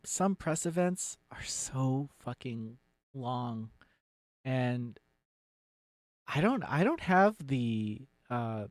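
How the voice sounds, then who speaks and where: A man's voice: medium pitch, no echo. A man giving casual, off-the-cuff commentary as a streamer, blunt and sweary, rambling and often trailing off mid-thought.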